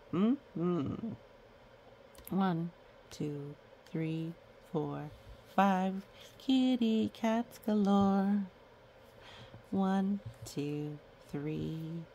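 A woman storyteller reads to children at an unhurried pace, with a noticeably creaky edge to her voice. She is playful, dropping in little comments and counting in a sing-song way. There is some background noise on the recording. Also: low-pitched, lively intonation, warm tone, rough recording. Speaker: children's book read-aloud storyteller. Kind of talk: picture book read-aloud with chatty asides to children.

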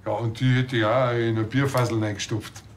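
A man's voice: mid-range voice, little pitch movement, a little fast, a little hiss or hum, natural voice. An actor playing a grumpy, down-to-earth middle-aged policeman with a Bavarian accent. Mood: dry.

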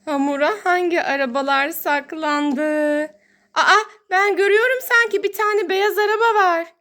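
A woman's voice: extremely high pitch, fairly even intonation, measured pace, a bit bright. A woman hosting toy play for young children as a mother, talking in a sing-song, exclaiming way and drawing out her words.

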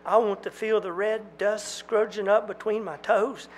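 A man's voice: very animated, normal speed, vocal fry, slight background noise, heavily acted. A man doing a wailing young country man. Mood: pleading. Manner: plaintive, wailing, rising pitch. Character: ashamed young country man, pleading and frightened.

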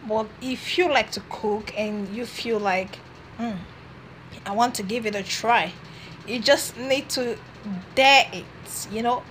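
A woman's voice: on the high side, takes it slowly, a bit bright, a little hiss or hum. A young woman vlogging to her phone camera from a small room, chatting in a casual, encouraging way and often restarting her phrases. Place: small room indoors, seated on a red couch. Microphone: phone camera at arm's length.

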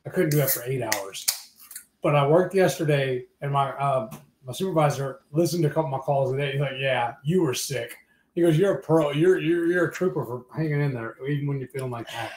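A man in his thirties, hoarse from being sick, chatting easily while cooking and eating live at home. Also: medium pitch, brisk, darkish tone, a little hiss or hum. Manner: easygoing, chatty. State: hoarse from illness. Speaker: livestream co-host. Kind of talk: casual live cooking chat. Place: home kitchen. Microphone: fixed camera mic at the table. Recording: echoey kitchen room.

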